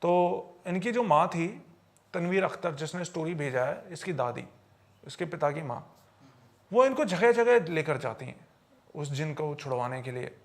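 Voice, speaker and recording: High-voiced man with a creaky edge. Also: expressive, unhurried. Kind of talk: conversational storytelling on a podcast.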